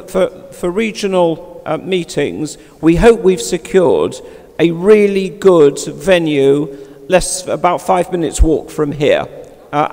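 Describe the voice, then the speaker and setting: A man chairing a formal meeting in a large hall, speaking loudly and emphatically as he appeals to the room. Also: a little high. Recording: reverberant hall.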